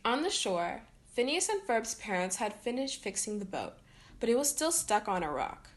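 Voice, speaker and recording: A woman reading a children's story aloud in an expressive way, acting out the dialogue. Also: fairly high pitch, moderate pace. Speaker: storybook reader.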